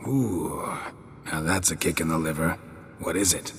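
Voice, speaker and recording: An actor playing a world-weary, stoic monster hunter in a fantasy game, gravelly and terse. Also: male voice, a bass voice, unhurried, big-room sound, a little hiss or hum. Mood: dry, curious. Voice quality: gravelly, husky. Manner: terse, understated.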